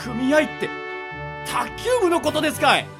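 High-pitched, overwrought young gangster underling. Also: male voice, moderate pace, gravelly, music in the background, exaggerated voice. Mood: bewildered. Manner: excitable, stammering, overwrought. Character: hot-blooded, weepy, devoted gangster underling, rough but polite. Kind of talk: amateur internet radio drama.

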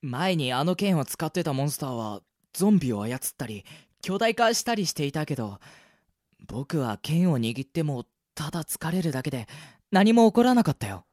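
A man acting a loyal, gentle young adventurer boy in an amateur radio drama, speaking earnestly and politely. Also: a little high, very animated, normal speed, dry recording, clean studio sound. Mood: mild. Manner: soft-hearted, earnest, polite.

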